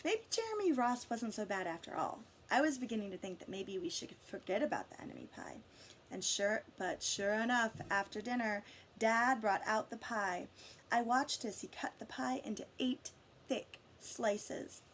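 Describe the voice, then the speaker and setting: A woman with a fairly high voice reads a children's story aloud in an expressive way. She addresses young listeners directly, with questions and guidance. There is noticeable noise in the recording. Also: moderate pace. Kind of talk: expressive picture-book read-aloud.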